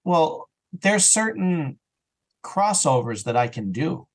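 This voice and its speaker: A man with a fairly high voice talks casually as a podcast guest. He is animated and slips into acting out his own thoughts as he explains things. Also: slow. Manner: animated, often acts out his own thoughts as quoted self-talk. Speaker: podcast guest, audio drama creator and voice actor. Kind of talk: casual interview chat.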